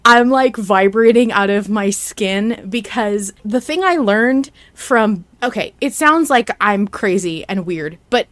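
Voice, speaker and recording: A woman hosting a fan podcast, chatting excitedly in an emphatic, gushing, halting way. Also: mid-range voice. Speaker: podcast host.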